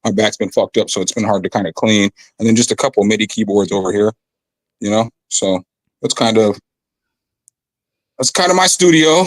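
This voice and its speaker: Man, raspy voice, casual slangy rambling, home studio, dry close mic. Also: fairly low pitch, moderate pace, pauses often, no room sound. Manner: laid-back, slangy, rambling with fillers. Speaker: guest musician on a video call. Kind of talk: casual chat. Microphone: condenser studio mic.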